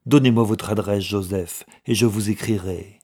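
Guy doing an anxious old clerk in an audiobook, husky, gentle, pleading, kinda agitated. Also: fairly low pitch, fairly even intonation, moderate pace. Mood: flustered. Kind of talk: audiobook character dialogue.

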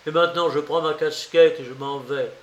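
A man's voice: on the high side, steady tone, brisk, natural voice. A man voicing a proud, furious suspect, defiant and exasperated, in a dramatized audiobook reading. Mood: angry defiance. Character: furious, proud, cornered male murder suspect. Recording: roomy, echoing voice recording.

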